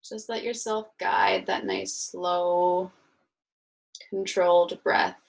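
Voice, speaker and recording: A woman leading a guided meditation as an instructor, speaking soothingly and informally in gentle, unhurried instructions. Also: lowish voice, sing-song, vocal fry.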